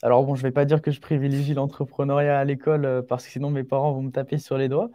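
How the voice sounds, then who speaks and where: A man's voice: mid-range voice, little pitch movement, quick pace, mellow, no echo, studio quality. An eighteen-year-old man giving advice as a podcast guest in an earnest, informal, emphatic way, often repeating key phrases. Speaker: young entrepreneur, podcast guest. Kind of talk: conversational interview answers, giving advice.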